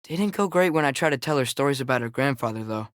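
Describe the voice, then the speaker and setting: A man's voice: mid-range voice, animated, fast, dry recording, studio quality, lightly acted. A young man playing a curious, earnest teenage boy in a Norse myth video game, talking in a natural, slightly hesitant way. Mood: casual, thoughtful.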